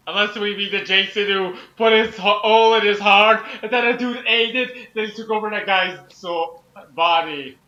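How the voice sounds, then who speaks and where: A man's voice: high-pitched, moderate pace, bright, forceful tone, roomy sound. A man chatting casually in a group, recorded with lo-fi sound. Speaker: fanfic author joining the reading. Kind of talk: casual chat.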